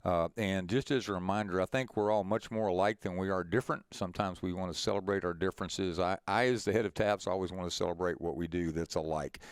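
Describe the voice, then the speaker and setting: Middle-aged male organization head, folksy and encouraging. Smooth, lowish voice, informal conference-call address. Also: fairly even intonation, fast talker, hardly any pauses, no echo, clean studio sound. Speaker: head of a school athletics association leading a conference call.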